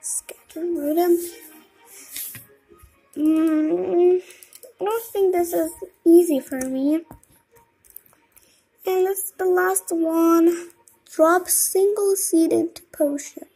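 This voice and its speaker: A woman's voice: very high voice, fairly even intonation, drawn-out words, long pauses, warm tone, soft background music, rough recording. A young girl giving casual gameplay commentary, thinking aloud in a childlike murmur to herself. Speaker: gamer. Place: indoors at a computer, screen filmed by camera.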